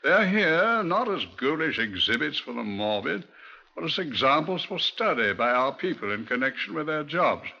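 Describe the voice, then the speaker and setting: An actor playing a genteel, proud British police museum custodian in a 1950s radio drama, formal and precise. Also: male voice, on the high side, sing-song, normal speed, creaky, pushed and bright, heavily acted. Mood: solemn, explanatory. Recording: dull old radio recording.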